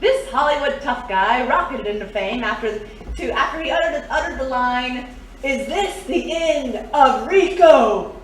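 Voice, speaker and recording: A woman's voice: sits high, lively intonation, unhurried. A woman co-hosting a live quiz on stage, reading out questions in front of a studio audience. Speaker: cast member and quiz co-host. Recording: echoey, distant live stage recording with noise.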